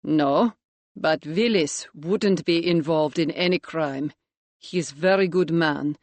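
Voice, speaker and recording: A sullen young trainee chef from Latvia speaks in short, broken English with a heavy Eastern European accent, as a woman reader lowers her voice for him. His tone is guarded and flat, and the recording is dry and close. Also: takes it slowly, no echo.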